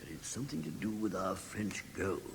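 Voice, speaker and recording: A man's voice: fairly low pitch, lively intonation, fairly quick, vocal fry. An actor playing a fussy, self-important British bank chairman, speaking formally and pompously in a classic radio drama. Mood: confiding. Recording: reverberant vault sound with faint noise.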